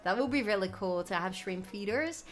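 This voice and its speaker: A young woman from the Netherlands with a Dutch accent, chatting excitedly and giggling on a gaming live stream as she reads out viewers' comments. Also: mid-range voice, normal speed, faint music behind. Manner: excitable, giggly, reading chat aloud. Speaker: gaming livestreamer. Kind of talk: casual live stream chat.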